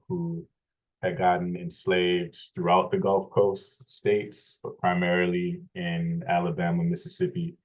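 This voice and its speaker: Interview guest: man from Louisiana, deep level voice, measured and explanatory. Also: rough recording. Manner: measured, frequent restarts and fillers, explanatory. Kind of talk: thoughtful conversational storytelling.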